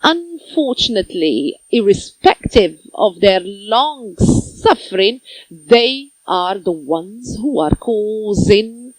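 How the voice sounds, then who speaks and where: Slow, halting woman interpreter. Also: mid-range voice, sing-song, breathy edge, no echo, low-quality recording. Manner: halting, phrase by phrase with pauses and self-corrections. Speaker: conference interpreter. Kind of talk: simultaneous interpretation of a conference talk.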